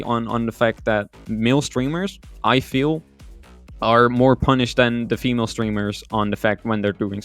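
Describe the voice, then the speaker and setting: A man's voice: mid-range voice, fairly bright tone. A man in his twenties from the Netherlands, giving casual answers as a streamer guest on a podcast. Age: 20s.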